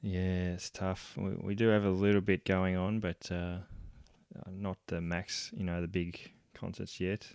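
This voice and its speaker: A very deep-voiced Australian man hosts a relaxed music podcast. He sounds upbeat and laughs easily, with plenty of fillers. He is recorded close and dry. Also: a little slow, clean tone, no room sound. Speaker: podcast host. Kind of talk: casual podcast chat.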